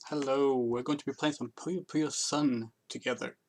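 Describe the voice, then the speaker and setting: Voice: medium pitch, fairly even intonation, moderate pace, darkish tone. A game streamer giving casual, rambling, explanatory livestream commentary in a hesitant way. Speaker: game streamer, channel host. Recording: game music behind the voice.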